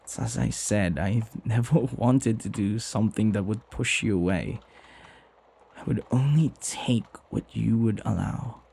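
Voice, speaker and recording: A man playing a devoted, flirty butler in an intimate ASMR roleplay, tender and hesitant, often sinking into a breathy whisper. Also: lowish voice, measured pace, warm tone, exaggerated voice. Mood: wistful, tender. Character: flirty lovestruck male butler, devoted and deferential.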